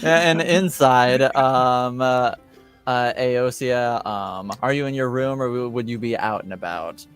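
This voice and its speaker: A man narrating as game master in an improvised, halting style. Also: medium pitch, smooth, faint music behind.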